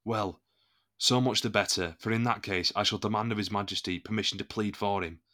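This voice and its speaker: Period audio drama: loyal, defiant musketeer captain, male. Lower register, bright forceful tone, formal emphatic delivery. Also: steady tone, fairly quick. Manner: formal, emphatic, indignant courtly speech.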